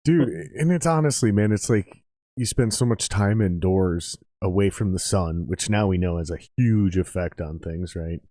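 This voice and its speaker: A man's voice: deep voice, moderate pace, dark tone, no echo, studio quality. A man in his thirties hosting a podcast, talking intensely and swearing freely. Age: 30s. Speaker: podcast host. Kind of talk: casual podcast chat.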